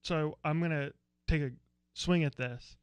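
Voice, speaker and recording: A man's voice: a little high, measured pace, smooth, no echo. An American man co-hosting a casual sports podcast, opinionated and rambling, grumbling dryly about teams he dislikes. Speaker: co-host.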